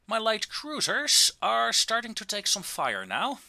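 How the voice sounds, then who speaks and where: A man giving casual, wry and understated commentary on a video game, with drawn-out pauses, into a close microphone. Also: high-pitched, huge pitch swings, vocal fry, sharp tone, no echo.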